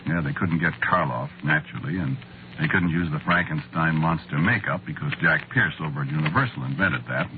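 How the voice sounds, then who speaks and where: An American actor playing a wry, put-upon screenwriter in a 1940s radio drama, talking in a halting, conversational, sardonic way. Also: male voice, rumbling low. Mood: wry, confiding. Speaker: narrator and lead actor. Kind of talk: 1940s radio acting, conversational first-person narration. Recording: muffled, noisy old radio recording.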